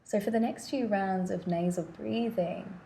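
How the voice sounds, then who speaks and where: A woman leading a guided meditation with gentle, unhurried instruction and pauses between phrases. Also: mid-range voice, vocal fry, slight background noise.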